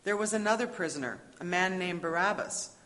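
A woman's voice: fairly low pitch, a little fast, fairly bright tone. A woman narrating a dramatic scripture reading from a script, recorded in a reverberant hall. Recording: reverberant hall with faint noise.

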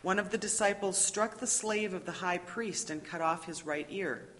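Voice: mid-range voice, normal speed, a bit bright. Scripture narrator in a reverberant hall. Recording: echoey church hall, long reverb.

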